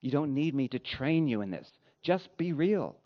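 A man delivering a sermon as a pastor, speaking in an earnest, conversational way with deliberate phrasing. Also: a little high, expressive. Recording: dull, lo-fi sound.

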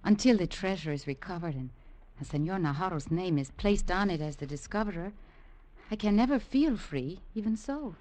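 Casting: actress as a gentle young servant, low mellow voice, soft and earnest, old radio drama. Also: female voice, lively intonation, moderate pace, natural voice. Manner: soft, earnest, quietly pleading. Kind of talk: 1950s radio drama acting.

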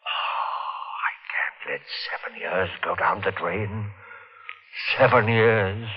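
An actor playing a greedy, desperate murderer in an intense, insistent 1940s radio drama style. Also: male voice, sits low, expressive, slow pace. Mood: desperate denial. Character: greedy, desperate murderer obsessed with insurance money. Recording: muffled, noisy old radio recording.

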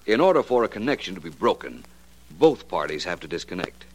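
An actor playing a cool, confident gentleman detective with an assured manner in a 1950 radio drama. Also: low-pitched, sing-song, slightly rough, exaggerated voice, low-quality recording. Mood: matter-of-fact. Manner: assured, deliberate explaining.

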